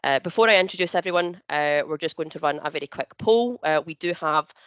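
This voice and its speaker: A woman's voice: low voice, brisk, a bit bright, clear, resonant tone, dry recording, dull audio. A woman hosting a business webinar, speaking with many fillers and restarts over a video call. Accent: not local to the northeast of England. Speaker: webinar host and partner manager.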